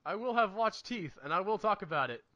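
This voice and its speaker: A man with a notably high, bright voice talks casually as a movie podcast co-host. He rambles earnestly, working out his opinions as he goes. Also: expressive, fairly quick, dull audio. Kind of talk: casual movie review chat.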